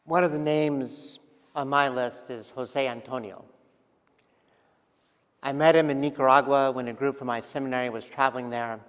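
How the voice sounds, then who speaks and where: A man preaching a sermon as pastor, speaking gently and reflectively with pauses and soft trailing endings. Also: medium pitch, clean tone. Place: large church sanctuary. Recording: long church reverb.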